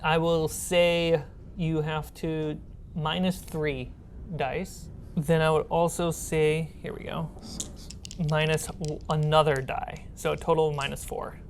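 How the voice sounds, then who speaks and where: A woman's voice: deep voice, flat delivery, takes it slowly, slight background noise. A woman running a tabletop game as game master, describing scenes in a casual, improvised way.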